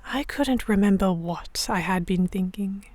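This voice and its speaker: A woman playing a young Victorian noblewoman captive, narrating her story in a measured, literary first person. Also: mid-range voice, darkish tone, no room sound, lightly acted. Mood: dazed, reflective. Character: young English noblewoman captive, reflective, stubborn, Victorian era. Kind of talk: first-person scripted narration in an audio drama.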